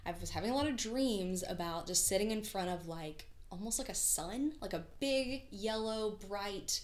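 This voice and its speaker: A woman's voice: mid-range voice, animated, normal speed. A woman guest artist telling stories in a casual, enthusiastic podcast conversation. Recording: roomy, echoey sound.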